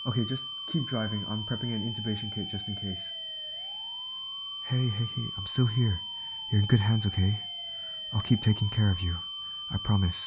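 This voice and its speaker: A man playing a gentle, reassuring ambulance medic in an ASMR comfort roleplay, speaking in a soothing, coaxing, attentive way. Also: lowish voice, huge pitch swings, moderate pace, highs cut off, heavy background noise. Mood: tense, urgent, then urgent, reassuring. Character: gentle, reassuring male ambulance medic tending an injured patient.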